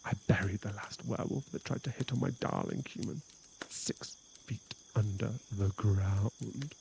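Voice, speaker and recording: A man's voice: on the low side, unhurried, creaky, darkish tone, a little hiss or hum. A man voicing a possessive, condescending vampire in an ASMR boyfriend roleplay, mocking one moment and sweet-talking the next. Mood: menacing.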